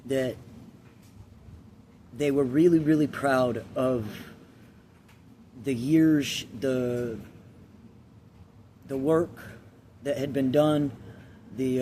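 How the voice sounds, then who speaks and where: Slow, halting man telling a story. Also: mid-range voice, mellow, slight background noise. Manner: halting, deliberate, pausing between short phrases; earnest, confessional. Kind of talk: slow personal storytelling with a moral lesson.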